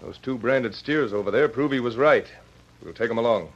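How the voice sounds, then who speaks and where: An actor playing a firm, gruff Old West sheriff in a radio drama, speaking bluntly and with command. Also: male voice, mid-range voice, moderate pace, close to an everyday voice. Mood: stern, commanding. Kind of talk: old radio western acting. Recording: dull lo-fi vintage radio recording.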